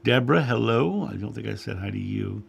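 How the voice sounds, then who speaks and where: A man hosting a spiritual livestream, chatting casually and greeting viewers by name, his voice a little raspy after a long week. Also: fairly low pitch, lively intonation, brisk, slight background noise. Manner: friendly, chatty, reads names from chat with frequent fillers. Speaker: livestream host, spiritual guide. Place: home studio.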